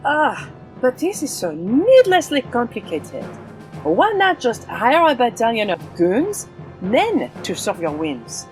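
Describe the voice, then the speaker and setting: A woman's voice: fairly high pitch, very animated, slow pace, soft background music. A woman acting a haughty swashbuckling heroine, wry and commanding, in an audio drama.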